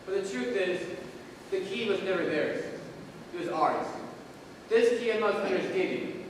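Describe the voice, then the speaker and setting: A man's voice: sits high, moderate pace, recorded from a distance, lightly acted. A man playing a solemn, mysterious narrator in a school stage play, in a noisy echoey hall. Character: solemn, mysterious narrator who waits and listens. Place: school stage, recorded from the audience.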